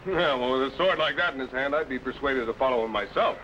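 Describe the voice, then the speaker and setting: An actor playing a loyal, cautious sidekick assistant with a folksy manner. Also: male voice, medium pitch, quick pace, muffled recording, close to an everyday voice. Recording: distant noisy old radio recording.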